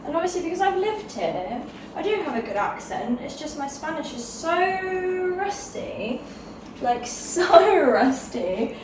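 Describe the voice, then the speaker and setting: A woman's voice: high-pitched, sing-song, unhurried, roomy sound, distant mic, background noise. A woman vlogging and chatting casually, self-deprecating and playful. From England. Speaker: travel vlogger. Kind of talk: casual chat to camera.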